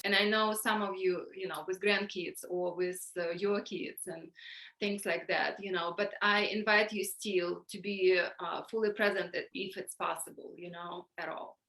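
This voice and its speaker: A middle-aged woman with a Ukrainian accent leads an online workshop. She talks in halting bursts with many fillers and restarts, and her voice sounds bright and clear. The video call audio echoes around the room. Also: medium pitch, fairly even intonation. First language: Russian or Ukrainian. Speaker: workshop facilitator.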